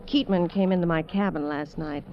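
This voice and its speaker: An actress playing a weary, candid dancer adrift on a derelict ship in 1950s radio drama style, talking in a hesitant, low-key way. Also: female voice, fairly even intonation, brisk, natural voice. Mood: uneasy, confiding. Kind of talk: 1950s radio drama acting and first-person narration. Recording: dull vintage radio, music behind.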